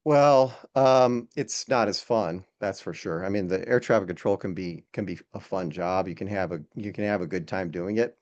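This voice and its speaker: A man speaking casually as an interview guest and instructor, in a hesitant, halting way with repeated words. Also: low-pitched, a little mellow. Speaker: podcast guest, air traffic control instructor. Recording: dull, lo-fi sound.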